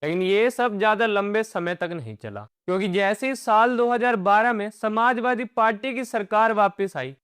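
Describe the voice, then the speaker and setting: A man's voice: sits high, animated, moderate pace, few pauses, fairly bright tone, smooth, no room sound, clean studio sound. A man delivers scripted documentary-style narration as a dramatic storyteller. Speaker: narrator of an explainer video.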